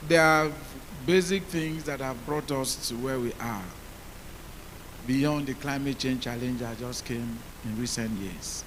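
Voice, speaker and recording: Deep-voiced man, hissy conference mic. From Nigeria. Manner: measured, rhetorical questions to the audience. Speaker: policymaker on a conference panel. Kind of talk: panel talk.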